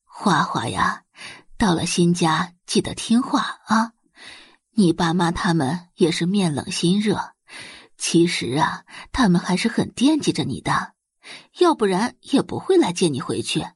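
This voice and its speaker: A woman voicing a plump middle-aged village auntie in an audio drama, warm and fussing, with folksy drawn-out exclamations. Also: on the low side, very animated, husky, no echo, studio quality, exaggerated voice. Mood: tender, coaxing.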